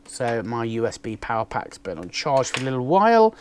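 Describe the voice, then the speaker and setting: A man, casually chatting through an unboxing, with a naturally loud voice and a rambling way of talking full of ums. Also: mid-range voice, big pitch swings, a little fast, slight background noise. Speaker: motovlogger reviewing gear. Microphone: external microphone on a camera.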